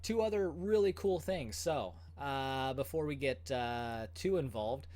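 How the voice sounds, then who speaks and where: A man's voice: mid-range voice, expressive, unhurried, a little mellow. A man in his thirties hosting a casual livestream from home, chatting with many fillers and an upbeat tone. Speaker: goalie channel host.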